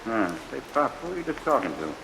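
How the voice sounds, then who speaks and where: An actor playing a jittery, impatient newspaper photographer, blunt and slangy, in a vintage radio drama. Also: male voice, mid-range voice, sing-song, fairly quick, gravelly. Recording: vintage radio recording with loud rain noise. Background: loud rain.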